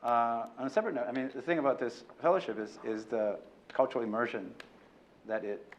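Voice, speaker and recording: A man's voice: medium pitch, brisk, a little hiss or hum. Hesitant male academic panelist. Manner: hesitant, many fillers and "right?" tags. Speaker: panelist, former fellow.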